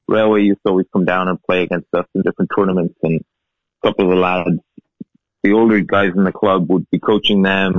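A man in his thirties from Ireland with a softened Irish accent, a field hockey coach chatting as a guest and telling his story with easy laughs. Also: low voice, no echo. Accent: Irish, softened by years in the US. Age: 30s. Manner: relaxed, chatty, laughs while telling stories. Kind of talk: casual interview storytelling. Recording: tinny phone-like line, dry.

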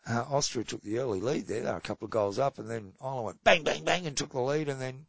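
A man co-hosting a hockey podcast, running through match results in casual chat with a slightly croaky voice. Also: medium pitch, no room sound, muffled recording. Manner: brisk results rundown, trailing off quietly. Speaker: podcast co-host.

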